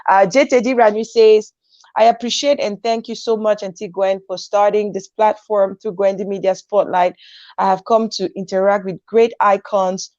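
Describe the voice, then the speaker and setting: A woman's voice: medium pitch, a little mellow, no echo. A woman hosts a live online talk show, reading out viewer comments and encouraging her guest, with frequent laughter. Her voice comes through a remote call, dry with no room sound, and slightly dull and muffled. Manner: encouraging, laughs often, reads comments aloud.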